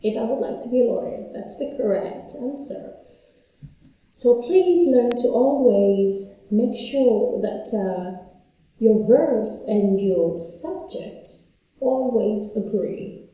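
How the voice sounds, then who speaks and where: A woman's voice: fairly high pitch, takes it slowly, mellow. A woman tutoring English online in a chatty, playful way, often repeating herself and breaking off mid-sentence. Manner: chatty, self-interrupting, repeats phrases. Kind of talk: playful casual teaching. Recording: echoey room, slightly dull audio.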